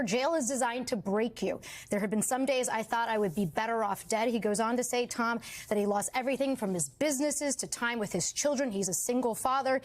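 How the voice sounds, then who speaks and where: Woman network correspondent reporting. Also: on the high side. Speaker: TV news correspondent. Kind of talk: broadcast news report.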